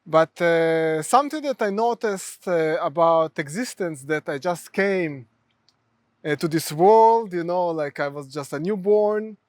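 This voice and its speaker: A man from Ukraine with a Ukrainian accent, a therapist telling stories from his life as a podcast guest, halting with many fillers. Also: a little high, no room sound, clean studio sound. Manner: halting, many fillers, non-native phrasing. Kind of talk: storytelling in conversation.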